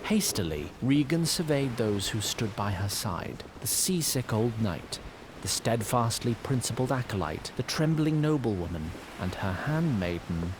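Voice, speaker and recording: An actor playing a wry, theatrical narrator of a fantasy saga, reading in ornate literary style. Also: male voice, mid-range voice, expressive, a little slow, background noise, close to an everyday voice. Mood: wry, stately. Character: dry, storybook male narrator of a fantasy saga. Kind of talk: scripted narration.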